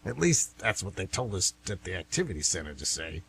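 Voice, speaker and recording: A man's voice: sits low, big pitch swings, a little fast, gravelly, fairly bright tone, a little hiss or hum, exaggerated voice. An actor playing a folksy, grumbling retired man who rambles and gets defensive, in a radio drama. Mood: grumbling, chatty. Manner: rambling, folksy, plain-spoken.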